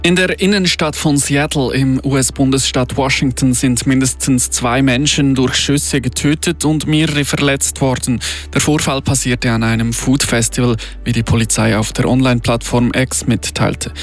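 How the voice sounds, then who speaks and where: A man's voice: a little high, a bit bright. Male radio newsreader over music. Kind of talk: scripted news reading. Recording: studio news over music bed. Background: music bed.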